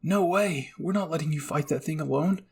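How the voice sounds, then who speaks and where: A man's voice: fairly high pitch, normal speed, creaky, husky. A man voicing a brash, boastful, grumbling soldier in an amateur anime roleplay. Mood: indignant shouting.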